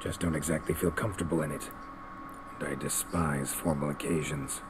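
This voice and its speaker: Very deep, gruff monster hunter. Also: male voice, steady tone, a little fast. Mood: grumbling. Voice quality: low, gruff. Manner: terse, dry, grumbling. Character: gruff, sardonic male monster-hunting warrior. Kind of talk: video game voice acting. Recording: game audio with clear background noise.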